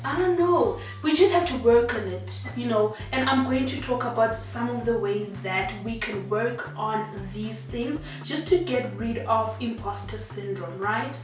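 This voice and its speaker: A woman from Zimbabwe talks to her viewers in an earnest, encouraging way, often asking rhetorical questions. Her voice sits a little high. She is heard from a distance in a very echoey room, with faint music underneath. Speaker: talk show host. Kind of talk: solo sit-down talk.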